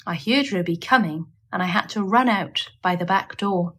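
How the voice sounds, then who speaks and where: A woman's voice: mid-range voice, moderate pace, a bit bright, lightly acted. A woman voicing a scheming, self-satisfied, genteel female little dog in a storybook, with a ladylike manner. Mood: puzzled.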